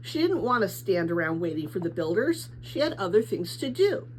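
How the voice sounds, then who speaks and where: A woman reading a children's novel aloud as an expressive storybook narrator. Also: mid-range voice, normal speed, slight background noise, poor audio. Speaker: storybook reader.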